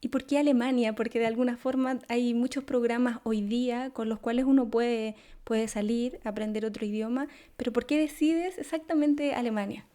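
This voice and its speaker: High-pitched, mellow woman interviewer. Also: steady tone, moderate pace, hardly any pauses. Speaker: podcast host and interviewer. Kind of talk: scripted introduction and interview.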